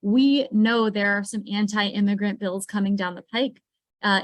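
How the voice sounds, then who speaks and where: Brisk woman giving a dry policy update. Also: medium pitch, no room sound, poor audio.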